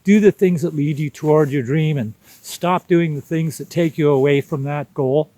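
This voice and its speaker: A man, speaking a reflective personal monologue in an unhurried, contemplative way with deliberate pauses. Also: on the high side, warm tone, no echo. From Canada. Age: 60s. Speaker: vlog host.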